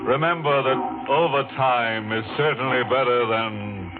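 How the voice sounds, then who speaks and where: A man's voice: mid-range voice, unhurried, background noise, natural voice. A man playing a ghoulish, gleefully morbid radio horror host with a sardonic, theatrical drawl. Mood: morbid glee. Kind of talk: 1940s radio horror hosting. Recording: tinny, band-limited old radio, music behind.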